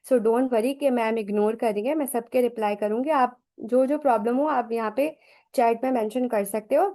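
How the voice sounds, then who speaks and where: Woman, Pakistani accent, chatty online teacher, higher pitch, warm mellow voice. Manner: chatty teacherly, mixes English phrases into Urdu. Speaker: online session speaker. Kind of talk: online lecture mixing Urdu and English.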